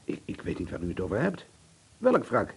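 A man's voice: lowish voice, huge pitch swings, brisk, creaky, slightly rough, heavily acted. An actor playing a nervy, earnest man, suspicious and haunted, in a radio drama. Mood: wary. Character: jittery, indignant male amateur painter, haunted and suspicious.

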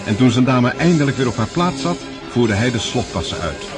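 A male narrator of a radio play. His voice is of average pitch and moves with animated, dramatic inflection. Music plays clearly behind the scripted narration. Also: fairly quick.

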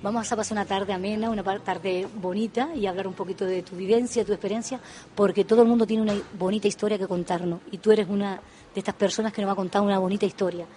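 A woman's voice: medium pitch, steady tone, quick pace, warm tone, slight background noise. A woman hosting a live radio interview, effusive and affectionate, often repeating and restarting her phrases. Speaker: radio show host.

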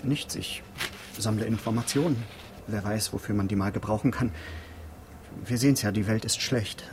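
An actor playing a suspicious, nervous male busybody with a halting, evasive, muttering delivery in a radio drama. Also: on the low side, noisy background, natural voice.

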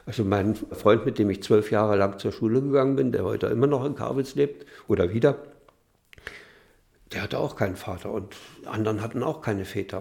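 An older man talks reflectively, pausing and restarting as he remembers. His low voice has a strong gravelly rasp. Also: darkish tone. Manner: reflective, halting. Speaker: interviewee. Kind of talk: interview recollection.

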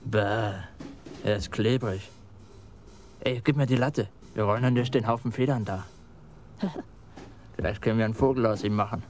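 An actor playing a cocky, mocking young street mugger in a radio crime drama, talking in coarse, taunting slang. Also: male voice, on the low side, fairly even intonation, moderate pace, long pauses, darkish tone, slight background noise, natural voice. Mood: mocking, excited.

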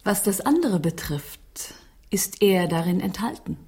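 An actress in a radio drama playing a composed, proud gentlewoman hostess with a formal, poised manner. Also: medium pitch, expressive, a little slow.

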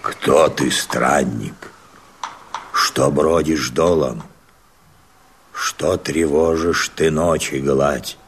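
An actor playing a grave watchman in a verse radio drama, speaking with solemn, deliberate challenge. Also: extremely low pitch, slight background noise, exaggerated voice. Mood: wary, solemn.

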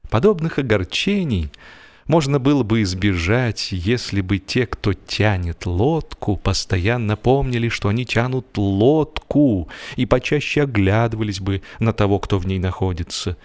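Male audiobook narrator, lowish pitch, measured storytelling, deliberate expressive phrasing, humorous literary style.

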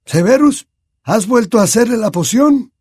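A genial old headmaster, as a male narrator puts him on, cheerful yet firm. His voice is pitched up and a little husky, with lively, bouncing intonation. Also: moderate pace, no echo, studio quality, exaggerated voice.

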